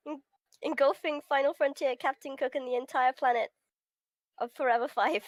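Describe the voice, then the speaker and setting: High-voiced woman, dry close sound. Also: steady tone, normal speed, no echo. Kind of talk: casual chat and amateur read-aloud of game script.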